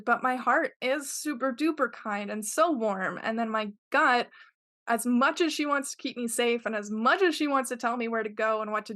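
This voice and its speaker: A woman's voice: fairly high pitch, fairly bright tone. A woman talking in a casual interview, earnest and rambling, with lots of filler words. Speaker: podcast guest.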